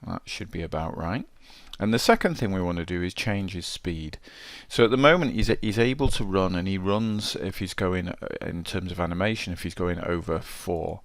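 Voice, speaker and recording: Low, creaky man giving a tutorial. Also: lively intonation, normal speed, hardly any pauses, dry recording. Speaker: game development tutorial presenter. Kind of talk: casual screencast walkthrough, thinking aloud.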